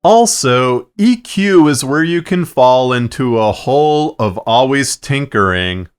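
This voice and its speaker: A man narrating a tutorial in a measured, plain-spoken, instructional way, explaining his production process step by step. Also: medium pitch, clean tone. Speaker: fiction podcast producer and narrator. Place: acoustically treated recording space.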